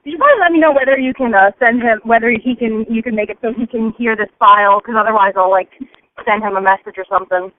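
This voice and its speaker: A woman's voice: fairly high pitch, fast talker. A woman leaving casual, rambling listener feedback as a voicemail over the phone. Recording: tinny, band-limited phone voicemail.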